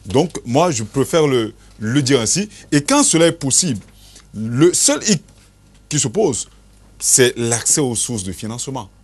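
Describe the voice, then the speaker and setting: A man's voice: medium pitch, very animated, a little slow, slightly rough. A man, a journalist arguing on a TV panel, hesitating with many fillers. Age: 40s. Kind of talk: televised panel debate.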